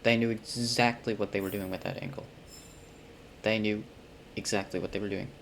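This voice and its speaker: A man's voice: on the low side, steady tone, moderate pace, background noise. A young man casually reacting to a game as a streamer, slangy and sweary, with muttered asides. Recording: loud game music behind the voice. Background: game music.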